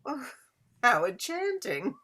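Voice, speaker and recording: A woman's voice: sits high, huge pitch swings, takes it slowly, pushed and bright, exaggerated voice. A woman playing a flirtatious Gilded Age society hostess in a period play reading, speaking in an affected, coy and theatrical way. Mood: coy, fascinated. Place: indoors, remote online reading.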